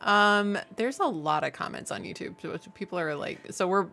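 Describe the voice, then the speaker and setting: A woman's voice: medium pitch, animated, moderate pace, very noisy. A woman co-hosting a livestream, chatting casually and reading out comments from viewers. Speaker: streamer co-host reading chat comments.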